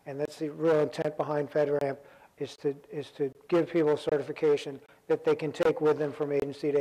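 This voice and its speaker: Male panel moderator, flat even delivery, lots of ums and restarts, conference room mic. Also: on the high side, low-quality recording. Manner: halting, many ums and restarts.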